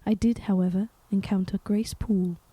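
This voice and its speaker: Woman acting a reserved, soft-toned young governess, husky. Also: mid-range voice, fairly even intonation, moderate pace, no room sound, lightly acted. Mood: earnest. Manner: restrained, earnest, formal. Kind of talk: audio drama acting and first-person narration.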